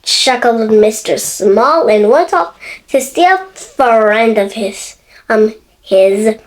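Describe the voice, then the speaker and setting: A woman's voice: fairly high pitch, lively intonation, slow. A six-year-old girl reading a picture book aloud expressively, stumbling and correcting herself now and then. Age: child, six years old. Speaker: young storybook reader.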